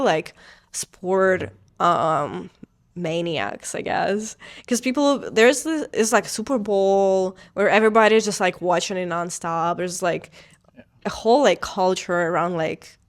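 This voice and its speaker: A woman's voice: mid-range voice, measured pace. Young ukrainian woman chatting with her fiance, says like a lot, english isn't her first language. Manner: chatty, lots of "like" fillers. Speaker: vlogger. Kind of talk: casual couple chat.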